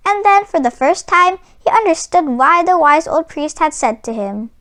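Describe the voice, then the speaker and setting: Woman, high voice, lively swooping intonation, dramatic children's read-aloud, drawn-out emphasis.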